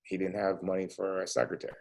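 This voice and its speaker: A man's voice: sits low, completely flat delivery, quick pace, a little mellow. A man in his forties from the States giving a casual talk to students, chatty and full of "you know" and "right?". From United States. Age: 40s. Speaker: entrepreneur guest speaker.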